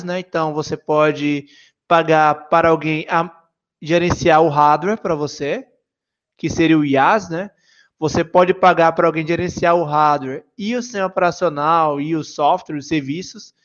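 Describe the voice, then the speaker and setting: A man from Salvador with a Bahian accent, a software engineer guest explaining cloud computing in a casual, self-deprecating livestream chat. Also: sits high, dull audio. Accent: Bahian Brazilian Portuguese. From Salvador, Bahia, Brazil. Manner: self-deprecating, jokey, full of filler tags.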